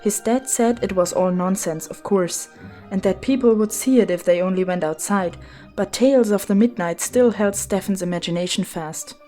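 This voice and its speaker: A woman's voice: medium pitch, normal speed, mellow. A woman reading a children's story as its narrator, in a scripted storybook style. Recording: music behind the voice.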